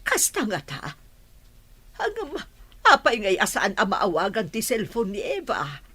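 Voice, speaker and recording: Woman acting a husky, animated worried mother. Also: mid-range voice, a little slow, full character voice. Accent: Ilocano. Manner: anxious, questioning. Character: worried village mother of a missing daughter. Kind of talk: Ilocano radio comedy drama acting.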